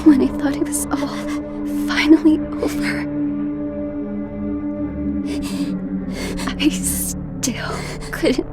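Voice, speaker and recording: Horror game role: haunted young girl, high voice, very slow halting breathy delivery, tearful and scared, over music. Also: level tone. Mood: anguished. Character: frightened, tormented young girl haunting a convent. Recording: music behind the voice.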